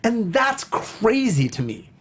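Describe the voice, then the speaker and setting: A man's voice: very high-pitched, sing-song, slow pace. A man hosting a casual discussion show, speaking in an enthusiastic, effusive way. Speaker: talk show host. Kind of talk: casual film and video game discussion.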